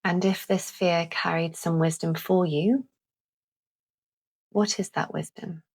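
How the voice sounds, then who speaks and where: A woman leading a calming guided visualisation in a gentle, deliberate manner with long pauses. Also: fairly low pitch, creaky, breathy edge. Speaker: guided meditation host.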